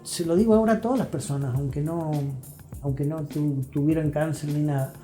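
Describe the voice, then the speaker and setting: An older man with an Argentine accent talks like a therapist being interviewed. His voice is soft-edged and mellow, his delivery didactic and emphatic. The room echoes, with faint music underneath. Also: a little high, a little fast. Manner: emphatic, didactic, repeats phrases. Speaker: guest therapist. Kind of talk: reflective interview talk. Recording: roomy echo, faint music.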